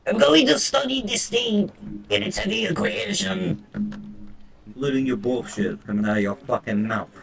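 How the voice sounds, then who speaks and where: A man's voice: high voice, moderate pace, vocal fry, a bit bright, distant mic, a little hiss or hum, poor audio. A man doing casual game commentary and reading text aloud, crude and sarcastic, with frequent swearing. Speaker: let's play streamer.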